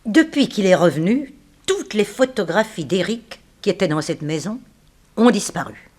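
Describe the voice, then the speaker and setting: A dignified woman defending herself in an old 1960s radio mystery. Her mid-pitched voice stays formal and self-possessed, reasoning coolly and with pride. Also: big pitch swings, darkish tone, husky. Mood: suspicious, reasoning. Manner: formal, measured, self-controlled. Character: composed, proud female companion under suspicion, coolly reasoning. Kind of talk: 1960s French radio drama acting.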